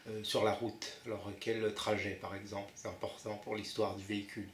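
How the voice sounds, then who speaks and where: A man's voice: on the low side, little pitch movement, a little fast. A man making an improvised prank phone call as a buyer, insistent and bossy, often cutting in. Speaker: prank caller posing as a car buyer. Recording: roomy echo, faint noise.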